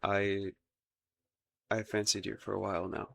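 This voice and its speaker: A man's voice: on the low side, completely flat delivery, unhurried, creaky, fairly bright tone. A man acting a shy, lovestruck young crown prince in a romantic roleplay audio, hesitant and stammering, with formal courtly phrasing. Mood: earnest, nervous.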